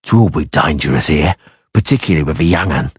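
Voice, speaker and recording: Man voicing a sinister assassin, slow drawl, deep husky voice, dry. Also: animated, no room sound, heavily acted. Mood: menacing. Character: cold menacing male assassin, slow drawling.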